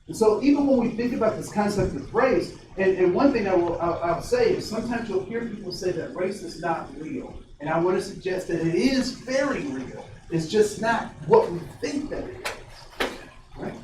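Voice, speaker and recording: A man's voice: sits high, normal speed. A man in his forties from Ohio, leading a diversity workshop with energy and quizzing his audience. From Ohio, USA. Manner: energetic, questions the audience, frequent restarts. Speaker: diversity trainer. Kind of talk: interactive workshop talk. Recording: very echoey, distant, faint noise.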